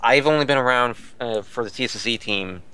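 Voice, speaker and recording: A man's voice: mid-range voice, fast, sharp tone, clear, resonant tone. A man co-hosting a casual fan chat show. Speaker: podcast co-host.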